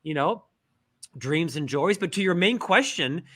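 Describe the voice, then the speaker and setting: A middle-aged man from Central Florida, a guest author in a casual interview, telling lively stories with self-deprecating humor. He has a stutter. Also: fairly high pitch, measured pace. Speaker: guest, author and podcast host.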